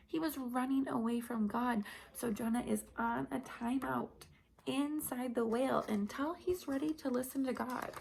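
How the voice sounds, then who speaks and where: A woman reading a Bible story aloud to young children, in simple repetitive phrases with questions to her listeners and some hushed, whispery delivery. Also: high-pitched, creaky, a little hiss or hum, rough recording.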